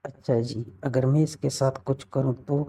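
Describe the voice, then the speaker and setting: A man's voice: medium pitch, steady tone, normal speed, dark tone, breathy edge. A man in a casual, intimate phone conversation, teasing and probing with questions, recorded muffled and distant.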